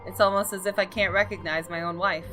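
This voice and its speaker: A woman gaming host giving an expressive, dramatic scripted narration of a visual novel. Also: mid-range voice, fast, low-quality recording. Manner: expressive, story-reading delivery. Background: game music.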